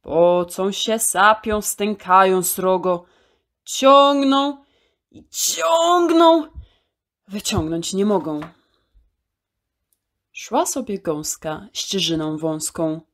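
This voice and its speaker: A woman storyteller reciting a children's poem in an expressive, theatrical way, drawing out key words. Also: mid-range voice, extremely slow, long pauses.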